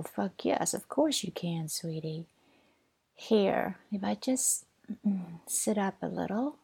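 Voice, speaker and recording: Slow, husky, whispery woman. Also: mid-range voice. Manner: soft, soothing, often whispered; tender, doting. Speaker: audio roleplay performer. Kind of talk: intimate audio roleplay.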